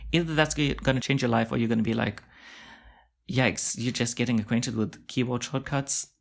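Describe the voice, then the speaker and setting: A man's voice: mid-range voice, fairly even intonation, moderate pace, creaky. A young man hosting his own podcast, rambling in a chatty, self-mocking way with lots of asides. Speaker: podcast host. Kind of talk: casual solo podcast monologue.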